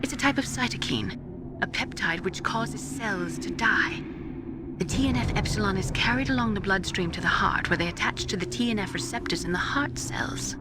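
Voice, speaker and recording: An actress playing a bitter, grieving woman scientist, speaking slowly and confidingly in a video game cutscene. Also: medium pitch, lightly acted. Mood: clinical, grave. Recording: loud music bed underneath. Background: loud dramatic music.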